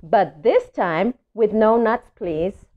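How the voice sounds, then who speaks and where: A woman's voice: medium pitch, slow pace, dull audio, natural voice. A woman voicing a cute, happy-go-lucky baby duckling, polite and innocent, in a children's storybook. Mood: sweet, happy. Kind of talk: storybook read-aloud for children.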